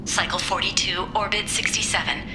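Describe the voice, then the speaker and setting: Actress as a dreamy young diarist over music. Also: female voice, medium pitch, fairly even intonation, fairly quick, close to an everyday voice, poor audio. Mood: smitten, self-doubting. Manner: dreamy, confiding, hesitant. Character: infatuated, insecure young woman recording her secret diary. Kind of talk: video game voice acting.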